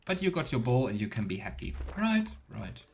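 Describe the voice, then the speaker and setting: A man's voice: medium pitch, sing-song, fairly quick, vocal fry, slight background noise. A man giving casual, chatty let's play commentary with playful sarcasm. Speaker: livestreamer playing a video game.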